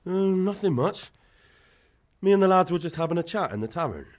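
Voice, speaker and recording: An actor playing a young medieval peasant lad in a video game, casual and a little defensive. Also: male voice, high-pitched, expressive, fairly quick, soft background music. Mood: evasive. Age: young adult. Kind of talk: dramatic video game dialogue.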